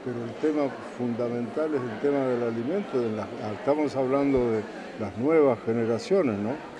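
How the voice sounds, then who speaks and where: Male interviewer, mid-range voice, halting and probing, clear crowd noise behind him. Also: medium pitch. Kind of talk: media interview questions.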